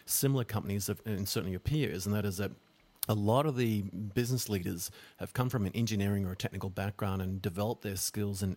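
A male host fires off long, winding questions with enthusiasm. He talks quickly in a lowish voice. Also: darkish tone. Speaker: podcast host. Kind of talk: interview hosting.

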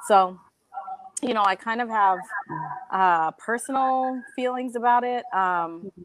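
A woman's voice: a little high, dry recording, soft background music, rough recording. A woman in her forties from El Salvador, answering a question candidly and measuredly on a panel discussion. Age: 40s. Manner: candid, measured, with many fillers. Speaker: panel guest.

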